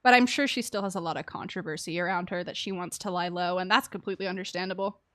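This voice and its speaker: A woman's voice: fairly low pitch, quick pace, a bit bright. A woman giving casual, sympathetic live commentary as she streams a game, chatty and giggly, using pet names.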